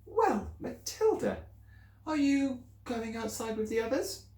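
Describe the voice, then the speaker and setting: Man voicing a kind schoolteacher, gentle, patient, softly questioning, roomy echo. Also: very high-pitched, very animated, a little slow, voice pushed up, full character voice. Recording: roomy, distant mic.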